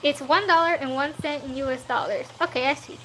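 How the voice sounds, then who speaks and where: A woman's voice: high-pitched, fairly quick, sharp tone, faint music behind, rough recording. A woman, not a native English speaker, chatting casually on a livestream while she draws and answers chat, laughing often. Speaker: streaming artist.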